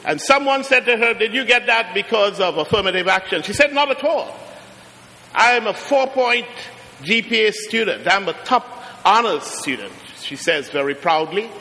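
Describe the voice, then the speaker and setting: Man, Trinidadian accent, middle-aged, sermon, high voice, emphatic rising peaks. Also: lively intonation, bright, forceful tone, a little hiss or hum. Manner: preaching with rising emphatic peaks and slow drawn-out phrases. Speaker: guest preacher. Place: large church. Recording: long church reverb.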